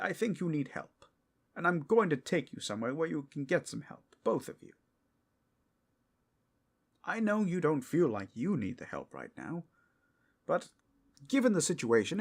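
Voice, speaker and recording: A man's voice: on the high side, gravelly, heavily acted. A man playing an earnest, well-meaning superhero in an audio roleplay, hesitant and stammering as he tries to sound reasonable.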